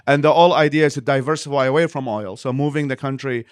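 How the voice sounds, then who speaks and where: A man from Iraq speaks as an in an interview. He talks quickly and at length, reworking his sentences as he explains. The sound is close and dry, with no room around it. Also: medium pitch, fairly even intonation, dry recording. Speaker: interview guest, nonprofit leader.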